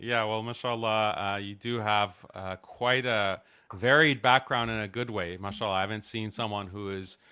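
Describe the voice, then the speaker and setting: A man hosting an interview show, opening with formal religious greetings and a measured, deliberate introduction of his guest. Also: on the low side, steady tone, pushed and bright, clear, resonant tone. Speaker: host. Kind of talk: podcast interview over video call.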